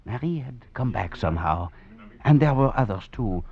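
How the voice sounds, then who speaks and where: An actor playing a bitter, sardonic French fugitive, brooding and ironic, in a 1940s radio drama. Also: male voice, medium pitch, animated, moderate pace, darkish tone. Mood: tense narration. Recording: dull vintage radio recording.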